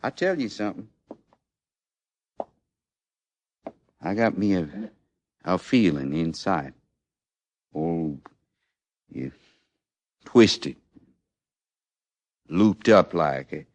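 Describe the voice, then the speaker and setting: An actor in old-time radio western style playing a condemned American outlaw in his thirties, with a rustic, halting Western drawl and a rueful, plainspoken manner. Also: low voice, animated, a little mellow, dry recording. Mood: sorrowful, pleading. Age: 30s. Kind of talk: mid-century American radio western acting.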